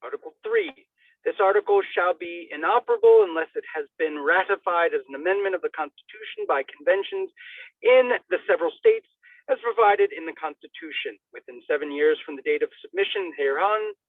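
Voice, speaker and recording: A man's voice: high-pitched, wildly swooping pitch, brisk, no echo. A man giving a theatrical, declamatory reading of a formal document, with sudden bursts of emphasis. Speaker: reader of a legal document. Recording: thin phone-like radio sound, distant mic.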